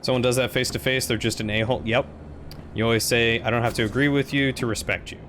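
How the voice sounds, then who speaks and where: A man streaming a game live, talking casually to his chat. He projects his voice so that it carries, and he keeps it lighter and friendlier than his natural baritone, landing at a middle pitch. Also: steady tone, fast, slight background noise. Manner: loud, projecting voice.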